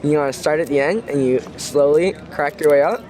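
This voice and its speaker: A man's voice: on the high side, a little fast, crowd noise. A man acting as a local guide, explaining things casually. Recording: noisy, crowded city outdoors.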